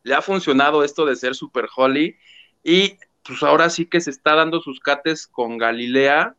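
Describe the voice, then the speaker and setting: A man's voice: a little high, moderate pace, pushed and bright, clear, resonant tone, dull audio. A man hosting a gossip show, telling the story animatedly and acting out quotes. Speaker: show host. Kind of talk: casual gossip commentary.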